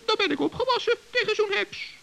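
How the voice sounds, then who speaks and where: A man playing a small, earnest, trusting forest gnome with a squeaky, creaky voice in a children's radio play. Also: animated, fairly quick, pushed and bright, heavily acted. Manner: earnest, naive, polite.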